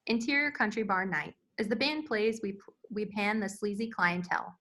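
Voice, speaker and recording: A woman's voice: mid-range voice, normal speed, a bit bright. A woman reading screenplay stage directions as scripted narration at a table read.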